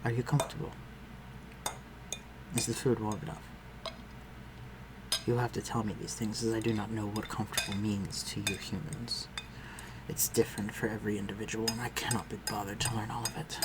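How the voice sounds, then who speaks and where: A man playing an aristocratic vampire master in a scripted audio roleplay, speaking formally and with measured, condescending concern for the listener. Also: on the low side, little pitch movement, heavy background noise, natural voice. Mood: civil, aloof. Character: aristocratic male vampire master, civil, protective, coolly superior.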